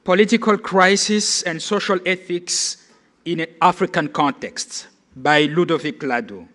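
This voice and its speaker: Cameroonian man in his forties, high voice. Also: a bit bright. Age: 40s. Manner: measured, formal delivery. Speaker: conference speaker. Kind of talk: reading a prepared lecture.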